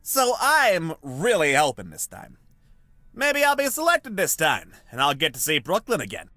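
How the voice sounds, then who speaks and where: A man's voice: fairly high pitch, huge pitch swings, normal speed, bright, forceful tone, no room sound, studio quality, soft background music, exaggerated voice. A man playing an eager, hopeful 1920s all-American young man in a visual novel, earnest and exclamatory, with old-fashioned slang. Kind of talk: visual novel character acting.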